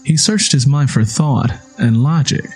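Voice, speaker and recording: A man's voice: medium pitch, measured pace, a little mellow, husky, soft background music. Man narrating an audio drama.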